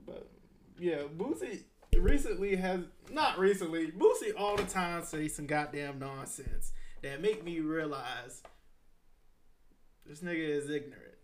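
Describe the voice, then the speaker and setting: A man talking casually as a podcast host, his voice sitting a little high for a man and turning animated, laughing and swearing as he goes. There is a faint hiss of noise behind him. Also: a little slow.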